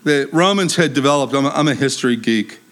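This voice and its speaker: A man preaching a sermon in a lively, conversational way, with humor and a big voice. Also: mid-range voice, fast.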